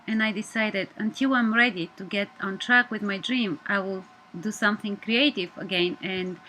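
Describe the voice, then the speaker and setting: A woman's voice: medium pitch, sharp tone, low-quality recording. Bulgarian-accented woman in her thirties, earnest presenter, faint noise behind her. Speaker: media student. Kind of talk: scripted personal presentation.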